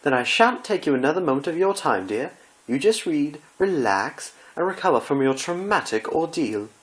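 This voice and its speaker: Here is a refined lady pony from a cartoon fan story, acted out by a male reader. The voice is pitched well up, with gushing, theatrical delivery. Every phrase is prim, fussy and full of drama. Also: very animated, normal speed, rough recording. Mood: fussing, earnest.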